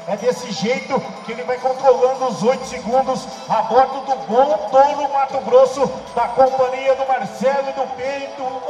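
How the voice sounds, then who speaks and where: A man's voice: very high-pitched, darkish tone. A man from the of São commenting on rodeo replays, explaining each rider's technique. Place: rodeo arena. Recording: echoey arena PA with long reverb.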